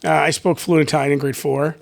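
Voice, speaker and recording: Halting middle-aged man, fairly high voice. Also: little pitch movement, gravelly, a crowd in the background. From Canada. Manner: halting, many fillers and restarts. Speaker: guest, basketball coach. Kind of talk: casual interview chat.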